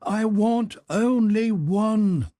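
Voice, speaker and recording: A man's voice: high-pitched, very slow, warm tone, dry recording, studio quality, pitched above the performer's own voice. A man reading aloud and voicing a hot-tempered master of the house who calls out demands. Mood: pleading shout. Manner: calling out, demanding.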